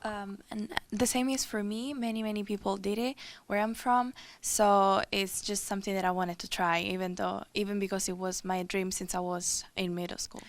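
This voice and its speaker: A young exchange student from Italy, soft-spoken, answering interview questions. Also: female voice, medium pitch, fairly even intonation, moderate pace, few pauses, no room sound.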